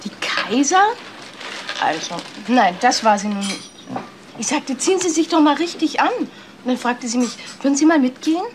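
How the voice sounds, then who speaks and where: An actress playing a gossipy, catty office worker in a German crime radio play, chatting away. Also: female voice, high voice, animated, moderate pace, background noise. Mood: catty, amused.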